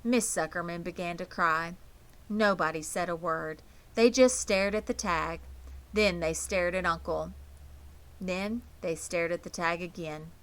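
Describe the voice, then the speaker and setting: A woman's voice: lowish voice, a little slow, a bit bright. A woman narrating a children's novel aloud as an audiobook reader. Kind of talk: scripted children's story narration.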